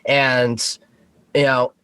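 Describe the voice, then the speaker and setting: A man's voice: medium pitch, little pitch movement, very slow, bright, forceful tone, clean tone, no room sound. An American man telling anecdotes casually as a podcast guest, swearing and joking along the way. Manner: profane, joking. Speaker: podcast guest, Coast Guard veteran.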